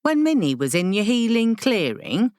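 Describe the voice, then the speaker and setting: A female narrator plays a querulous woman learner in a fantasy audiobook. Her pitch lifts well above a low storytelling register as she questions things in blunt, everyday phrasing. The sound is dry and close. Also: big pitch swings, normal speed, darkish tone, dry recording, close to an everyday voice. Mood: hesitant, curious. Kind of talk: audiobook character dialogue.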